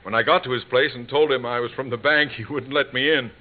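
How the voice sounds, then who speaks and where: An actor playing a proud, stubborn middle-aged husband and amateur gardener in a vintage radio sitcom, hesitant yet self-important. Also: male voice, medium pitch, steady tone, quick pace, lightly acted. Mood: blustering. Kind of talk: 1950s radio sitcom acting. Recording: tinny vintage radio broadcast recording.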